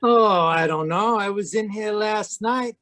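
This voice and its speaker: A man's voice: high voice, measured pace, a bit bright. A man playing a greedy, slick swindler with a slangy, scheming manner in old-time radio drama style. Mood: scheming, coaxing. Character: greedy male swindler, slick accomplice of cafe singer.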